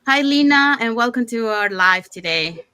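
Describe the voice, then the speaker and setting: A woman's voice: on the high side, animated, normal speed, bright, forceful tone, clean tone, dry recording. A woman from Panama hosting an online talk show, introducing guests in a loose, chatty way with fillers. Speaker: host.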